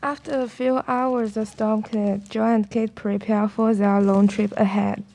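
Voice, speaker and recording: A woman's voice: medium pitch, level tone, measured pace, gravelly, dark tone. A woman reading scripted story narration for a student radio play.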